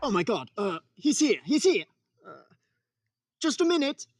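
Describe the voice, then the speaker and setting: A woman playing a fussy, nervous gentleman architect with a formal, flustered manner in a radio comedy sketch. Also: on the high side, very animated, moderate pace, very noisy. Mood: flustered, panicky.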